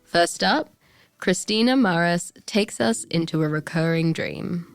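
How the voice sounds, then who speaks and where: A woman's voice: on the low side, measured pace, clean tone, no room sound, faint music behind. A woman hosting a radio show, reading a scripted introduction.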